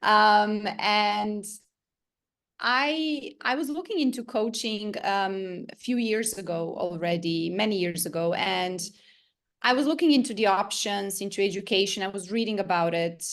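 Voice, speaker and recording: A woman's voice: medium pitch, unhurried, a bit bright. A woman in her thirties from Serbia, answering interview questions with frequent hesitations and fillers. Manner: hesitant, many ums. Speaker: guest coach and trainer.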